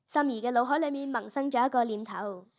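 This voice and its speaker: A woman's voice: on the high side, fairly quick, natural voice. A primary school girl with a Hong Kong Cantonese accent narrating a story in dramatic style. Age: child, primary school student.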